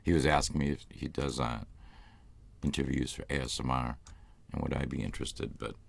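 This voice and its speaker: Very deep gravelly Boston man. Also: steady tone, fairly quick. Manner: rambling, loose, swears casually. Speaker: ASMR channel host. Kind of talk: casual live-stream chat.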